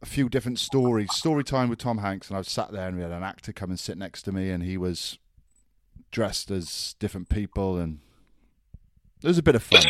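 A man's voice: low-pitched, expressive, a little fast, no echo. A man with a Welsh accent, co-hosting a podcast in casual, joking banter. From Wales. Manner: joking banter, laughs often. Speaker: podcast co-host. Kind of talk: casual chat.

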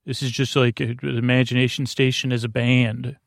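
Creaky voiced guy doing a rambly sleep podcast, keeps stopping and interrupting himself, really dry sound. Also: mid-range voice, flat delivery, no echo. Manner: meandering, halting, self-interrupting. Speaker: podcast host. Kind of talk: rambling bedtime podcast monologue.

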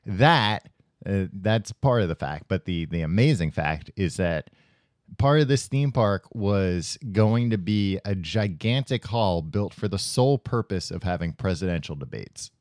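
A man with a low voice hosting a casual comedy podcast. His delivery is lively and playful, full of jokes and teasing. The sound is dry and close to the mic. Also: a little slow, no echo. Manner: jokey, drops into silly cartoon voices. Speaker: podcast co-host.

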